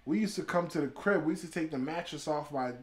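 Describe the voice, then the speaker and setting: A man's voice: fairly high pitch, quick pace. A man from Haiti, a podcast guest talking in casual chat, reflective and musing, often trailing off and restarting.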